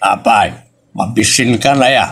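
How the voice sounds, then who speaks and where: A man with an Ilocos accent playing a grumbling older village father in a drama, with a gravelly voice. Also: medium pitch, unhurried, a bit bright. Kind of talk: Ilocano audio drama acting.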